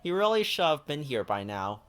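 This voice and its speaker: A man voicing a staid, bookish, easily exasperated male friend in a children's storybook, in a measured grumble. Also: on the high side, big pitch swings, a bit bright.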